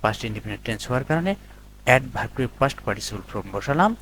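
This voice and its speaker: A man's voice: medium pitch, expressive, quick pace, fairly bright tone. Male tutor, noisy recording. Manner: instructional, repeats and lists points step by step. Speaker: English grammar tutor. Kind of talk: explanatory grammar lesson addressed to students. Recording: noticeable background noise.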